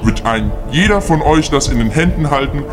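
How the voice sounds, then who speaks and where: A man playing a measured, conciliatory magician who grants wishes in an amateur audio play. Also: a little high, animated, music in the background, close to an everyday voice. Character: male magician who grants wishes and sends heroes home.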